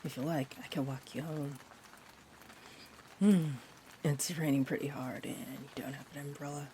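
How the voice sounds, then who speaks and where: Over a faint bed of rain, a woman plays a friendly vampire bartender with a low-pitched voice. She is gentle and a little hesitant, drifting into whimsical tangents. It is an intimate comfort roleplay, soft and sweet in manner. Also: moderate pace, slight background noise, lightly acted. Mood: gentle concern. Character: sweet rambling friendly female vampire bartender, looks twenty-four. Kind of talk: roleplay audio, soft intimate rambling monologue. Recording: steady rain ambience behind the voice.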